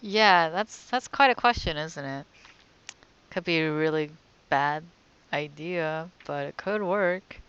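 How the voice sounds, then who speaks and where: A woman's voice: sits low, slow, a bit bright, clear, resonant tone, lo-fi sound. A woman casually commentating on a game as she plays, muttering wry reactions. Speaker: let's-play host.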